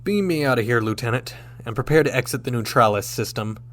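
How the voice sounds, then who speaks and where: A man's voice: mid-range voice, expressive, fast talker, fairly bright tone, natural voice. An actor playing a bold, wry male starship captain in a sci-fi radio drama. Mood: commanding.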